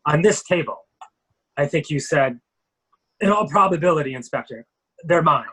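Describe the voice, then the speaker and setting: A courteous army major acted by a man, husky and fairly high in pitch. He talks politely and a little hesitantly, with an apologetic air, in a mystery drama reading. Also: expressive, moderate pace, pushed and bright, exaggerated voice, rough recording. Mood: sheepish, evasive.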